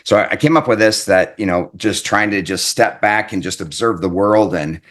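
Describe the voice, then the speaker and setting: A man's voice: fairly low pitch, normal speed, fairly bright tone. A man giving an earnest, reflective self-help talk as an author, often repeating his points and checking in with "right?". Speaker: author and life coach.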